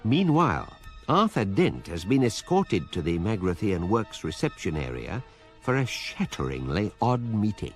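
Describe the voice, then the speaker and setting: An actor narrating a comic science fiction radio drama in a measured, deadpan, authoritative way. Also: male voice, on the low side. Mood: dry, measured. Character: measured, dryly authoritative storybook narrator of galactic absurdities. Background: music.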